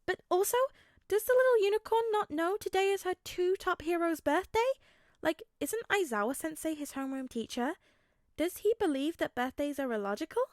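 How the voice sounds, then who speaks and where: A woman's voice: very high-pitched, lively intonation, moderate pace, darkish tone, dry recording, studio quality, voice pushed up. A woman voicing an indignant young friend in a message chat, emphatic and full of questions. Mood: indignant, puzzled.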